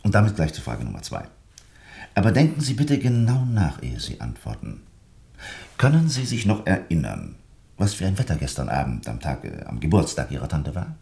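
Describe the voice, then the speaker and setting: A man playing a courteous, methodical police inspector in a German radio play, questioning politely, deliberately and insistently. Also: very deep voice, wildly swooping pitch, gravelly, dark tone, full character voice. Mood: polite, probing.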